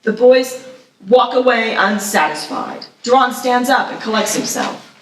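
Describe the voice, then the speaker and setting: A woman's voice: fairly high pitch, measured pace. A woman reading screenplay action aloud as narrator at a live table read, with a dramatic, vivid delivery. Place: live festival reading in echoey room. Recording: echoey room, distant mic.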